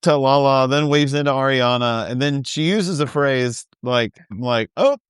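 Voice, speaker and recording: Brief: male podcast co-host, smooth resonant voice, dry close mic, casual and wry. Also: mid-range voice, measured pace, no echo. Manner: easygoing, wry, laughs often. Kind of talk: casual recap chat.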